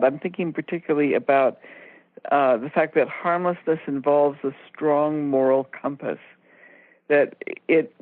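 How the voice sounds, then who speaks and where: Female radio co-host, low-pitched for a woman, measured and explanatory, heard down a narrow phone line. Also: no echo. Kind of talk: conversational explanatory talk radio.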